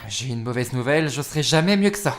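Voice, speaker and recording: A man's voice: medium pitch, animated, fast talker, natural voice. A man playing an insolent, bitter seventeen-year-old son defying his mother in a fan-dubbed TV drama, casual, sarcastic and confrontational. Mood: bitter, exasperated. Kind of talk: amateur fan dub of TV drama. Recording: music under the voice. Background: music.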